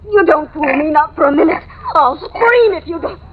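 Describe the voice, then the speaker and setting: Very high-voiced, frightened housewife. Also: female voice, lively intonation, moderate pace, slight background noise. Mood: panicked. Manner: nervous, hesitant, stammering. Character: frightened, suspicious, jittery married woman living in an apartment. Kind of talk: old-time radio thriller acting. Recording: dull lo-fi old radio recording.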